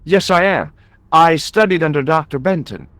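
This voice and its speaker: An actor playing an earnest, wry young American amateur sleuth in an adventure game, speaking with an American accent. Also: male voice, fairly high pitch, normal speed, a bit bright, dry recording. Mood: confident bluffing. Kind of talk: adventure game dialogue and narration.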